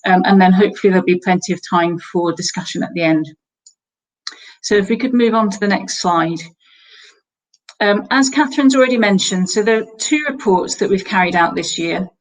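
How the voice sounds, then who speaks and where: A woman's voice: medium pitch, fairly quick, poor audio. Hesitant woman presenting a report. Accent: not Welsh. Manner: hesitant, many fillers and restarts. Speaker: guest presenter. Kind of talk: online presentation of report findings.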